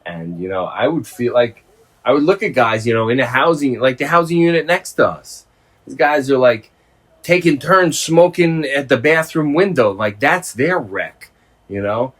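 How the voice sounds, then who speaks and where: A middle-aged man in a casual phone conversation, speaking informally and haltingly, with some swearing. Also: mid-range voice, animated, a bit bright. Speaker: host, former prisoner.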